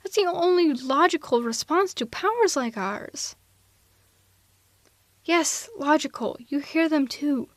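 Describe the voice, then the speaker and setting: A woman playing a haughty, sardonic telepathic villain in an ASMR roleplay audio drama, theatrical and quick to justify herself. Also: high-pitched, lively intonation, no room sound. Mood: zealous, exasperated. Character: female telepathic villain, haughty, misanthropic, secretly soft-hearted. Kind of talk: ASMR roleplay audio drama, close one-sided dialogue.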